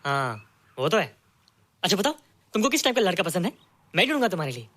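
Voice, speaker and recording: A man's voice: high-pitched, big pitch swings, fast talker, a bit bright, exaggerated voice. An actor playing an innocent, childlike young man in film drama, eager and pleading, often repeating his phrases. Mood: earnest, playful. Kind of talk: Hindi film drama dialogue. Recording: film dialogue under music.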